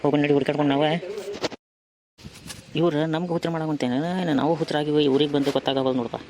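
A man playing an impatient, grumbling young traveller in an amateur cartoon, with a brisk manner and a North Karnataka Kannada accent. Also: fairly high pitch, fairly even intonation, a little mellow, a little hiss or hum, close to an everyday voice.

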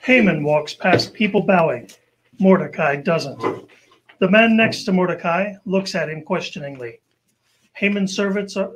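A man narrating an old-time radio show in a solemn, deliberate storytelling manner. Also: high-pitched. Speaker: narrator of an amateur radio play. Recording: noisy amateur live recording.

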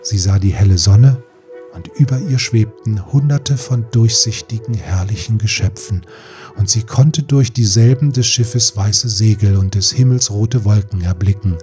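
A man narrating a fairy tale audiobook in a measured, literary storytelling manner. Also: on the low side. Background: soft music.

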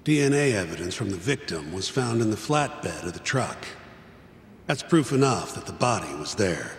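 A jaded middle-aged male lawyer from a game cutscene, blunt and lecturing. His lowish voice moves up and down a lot. It rings in an echoey, reverberant space. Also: sing-song, normal speed, vocal fry, slight background noise. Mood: explaining. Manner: blunt, world-weary, lecturing. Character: jaded, exasperated older male defense lawyer. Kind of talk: video game cutscene acting. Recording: echoey hall reverb, music behind.

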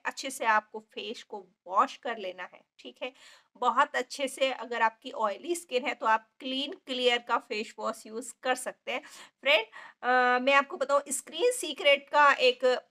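A woman in her forties with a sore throat chats through beauty tips into a phone held close in a small parlour. Her voice is high and bright. She keeps asking viewers "okay?" between steps. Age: 40s. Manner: chatty and instructive, many fillers, frequent check-ins to viewers. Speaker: makeup artist. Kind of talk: casual beauty tips vlog. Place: indoor beauty parlour with ceiling fan. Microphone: phone camera held close.

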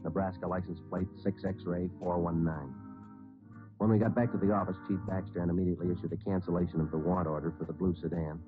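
An actor playing a matter-of-fact police detective who narrates and talks in a clipped, deadpan way in an old radio procedural. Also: male voice, sits low, fast talker, close to an everyday voice. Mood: businesslike. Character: brisk, businesslike police detective sergeant and narrator. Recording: tinny old radio broadcast, music behind.

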